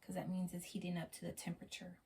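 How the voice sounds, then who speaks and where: Hoarse woman demonstrating at home. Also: on the low side, completely flat delivery, darkish tone, a little hiss or hum. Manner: plain step-by-step explaining, halting with fillers. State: hoarse from a lost voice. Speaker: product reviewer. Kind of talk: casual unboxing demonstration. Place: small bedroom at home.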